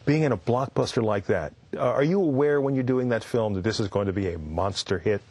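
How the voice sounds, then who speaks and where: A man's voice: fairly low pitch, brisk, a little mellow, husky, no echo. A man hosting a talk show, asking conversational questions and nudging his guest along. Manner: conversational, prompting with "mm-hmm". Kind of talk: interview questions.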